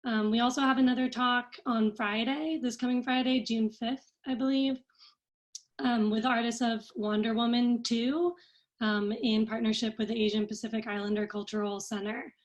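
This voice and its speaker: A woman's voice: fairly high pitch, steady tone, moderate pace. A woman hosting an online arts event, giving informal announcements and thanks. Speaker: event host for an arts organization.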